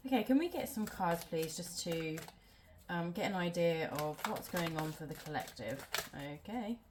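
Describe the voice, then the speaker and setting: A woman's voice: fairly low pitch, lively intonation, moderate pace, background noise. A woman doing a casual tarot reading at home, sounding nasal from a cold and rambling with many ums. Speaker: tarot reader.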